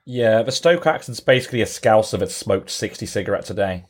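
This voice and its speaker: A man's voice: fairly low pitch, animated, brisk. A man in a casual podcast chat, explaining things in detail with a dry sense of humour.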